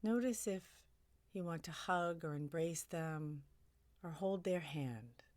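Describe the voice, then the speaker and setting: A woman leading a guided meditation in a soothing, gently suggestive way, her phrases broken by long pauses. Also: deep voice, dry recording.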